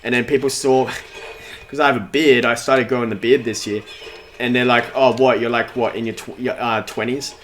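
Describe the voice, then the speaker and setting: A man's voice: mid-range voice, fairly even intonation, moderate pace, fairly bright tone. A nineteen-year-old man streaming a game, chatting casually in a rambling, talkative way while answering chat, with a voice that sounds younger than his age. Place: at home in front of a big TV.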